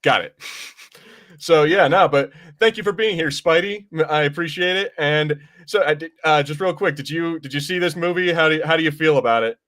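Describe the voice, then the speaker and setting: A man's voice: fairly high pitch, fairly quick, a bit bright. A man hosting a podcast in casual chat, talking in an informal, rambling, self-correcting way. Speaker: podcast host.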